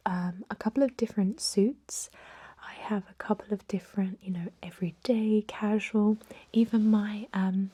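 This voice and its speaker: A woman performs an ASMR roleplay in a breathy, mellow whisper, very close and dry on the mic. She plays a gentle vampire emergency doctor, reassuring her patient in soft, hesitant bedside patter. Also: medium pitch, dry recording. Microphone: close microphone.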